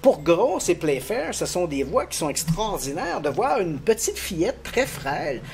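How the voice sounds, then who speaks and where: Higher-voiced man telling a ghost story. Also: a little slow, gravelly. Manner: lively, detailed, laughs easily. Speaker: guest expert on the paranormal. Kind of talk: casual explanatory storytelling.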